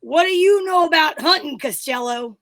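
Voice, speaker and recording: A woman playing a bossy, exasperated male straight man in an old-time radio comedy, with brisk, scolding delivery. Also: sits high, animated, fairly bright tone. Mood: dry, teasing.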